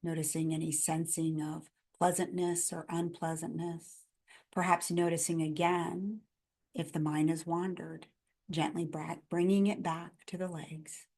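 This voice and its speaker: A woman guiding a body scan meditation in a gentle, calm, instructional manner, in measured phrases with pauses between them. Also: fairly low pitch. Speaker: meditation guide.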